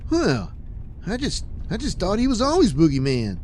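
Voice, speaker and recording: Man doing a high, dopey sidekick. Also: huge pitch swings, darkish tone, slight background noise. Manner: whiny, childish, slow and dopey. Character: dim, whiny young male sidekick.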